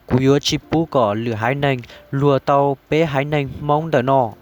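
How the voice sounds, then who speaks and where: Male cartoon character, played by a man, middling pitch, music behind. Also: steady tone, moderate pace, a little mellow, lightly acted. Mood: talkative. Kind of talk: cartoon story voice acting.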